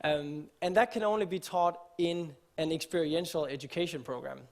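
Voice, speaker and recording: A man's voice: a little high, moderate pace. A man from Denmark, giving an earnest conference talk on stage, explaining with frequent fillers and restarts. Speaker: conference speaker. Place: conference stage before an audience.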